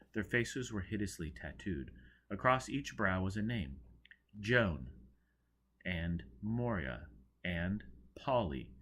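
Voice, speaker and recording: A man reading an audiobook, narrating dramatically with a theatrical, expressive delivery. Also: deep voice, husky. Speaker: audiobook reader.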